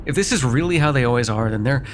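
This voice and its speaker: A man playing a sarcastic vampire with a soft spot in an ASMR roleplay, talking in a teasing, conversational way with sighs and hesitations. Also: medium pitch, expressive, fast, a little hiss or hum, close to an everyday voice. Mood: wry, indignant. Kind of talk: immersive ASMR roleplay acting.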